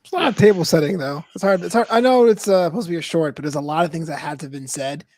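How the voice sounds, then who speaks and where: Male guest panelist, higher-pitched, conversational, recorded dry with no room sound. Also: quick pace, a little mellow, no room sound. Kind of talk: casual discussion.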